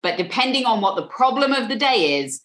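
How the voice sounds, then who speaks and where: A woman's voice: fairly high pitch, big pitch swings, fairly quick, a bit bright, reverberant. A British woman in an interview, speaking earnestly and often repeating words as she thinks. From Britain.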